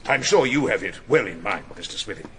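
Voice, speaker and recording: An actor playing a wily, irascible older English defence barrister in a radio drama, theatrical, probing and dryly ironic. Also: male voice, fairly low pitch, expressive, brisk, a bit bright, slight background noise. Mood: pointed, ironic. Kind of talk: radio drama courtroom acting and narration.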